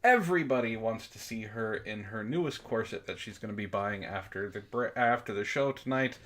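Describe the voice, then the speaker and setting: A man's voice: fairly low pitch, fairly quick, a bit bright. A man hosting a book club livestream in casual chat, jokey and playfully theatrical when he announces things.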